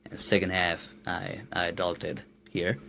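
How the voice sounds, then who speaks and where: A man's voice: deep voice, little pitch movement, measured pace, sharp tone, a little hiss or hum. A man in his late twenties from Nepal, with a Nepali accent, speaking earnestly as a podcast guest and often repeating words as he searches for his phrasing. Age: late 20s. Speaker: guest musician. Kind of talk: casual podcast interview.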